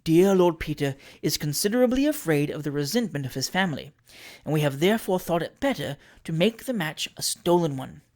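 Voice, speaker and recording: Cool, quiet, scheming lady of forty, as a narrator plays her. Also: medium pitch, lively intonation, natural voice. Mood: composed. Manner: quiet, measured, dry and imperious. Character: cool, scheming, self-possessed buxom rich lady of forty.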